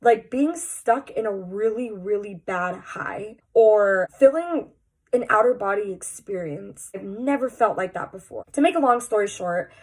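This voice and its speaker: A woman's voice: mid-range voice, expressive, unhurried. A young woman with a stuffy, slightly nasal voice from a cold, speaking candidly and earnestly to her viewers in a personal vlog. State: congested, sounds nasal from a cold. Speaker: vlogger.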